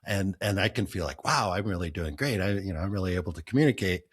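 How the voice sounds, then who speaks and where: A middle-aged man hosting a travel podcast, relaxed and self-deprecating, laughing easily as he chats. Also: low-pitched, fast, slightly rough. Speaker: podcast host. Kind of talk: casual interview conversation.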